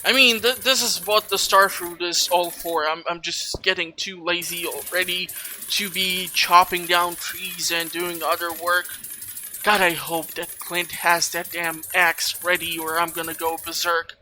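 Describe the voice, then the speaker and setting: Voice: medium pitch, level tone, unhurried, pushed and bright, slight background noise, low-quality recording. A gaming video host giving casual let's play commentary, chatty and rambling while thinking aloud. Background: video game music.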